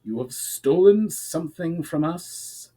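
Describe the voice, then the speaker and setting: A man's voice: lively intonation, slow, dark tone. A man voicing a confident, menacing middle-aged military commander with a growl, in a fantasy story reading. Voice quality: deep, growling. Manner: confident, commanding, clipped threats.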